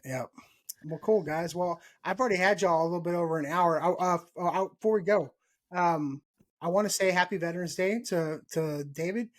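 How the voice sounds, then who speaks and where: A man's voice: high voice, brisk. A man in his thirties from Texas hosting a casual gardening podcast over a video call, laughing often and repeating himself. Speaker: podcast host. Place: home room, indoors. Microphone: video call mic.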